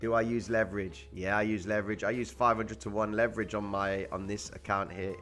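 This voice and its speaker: Laid-back deep-voiced man streaming. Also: flat delivery, fairly quick, a bit bright, soft background music. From Cyprus. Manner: laid-back, slangy, answering chat. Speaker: trading livestream host. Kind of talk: casual livestream chat reading viewer comments.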